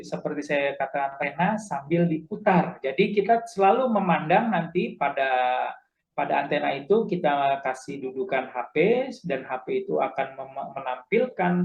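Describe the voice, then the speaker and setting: A man giving an informal, instructive tutorial, halting at times and repeating key points. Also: a little high, darkish tone, lo-fi sound. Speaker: amateur radio instructor.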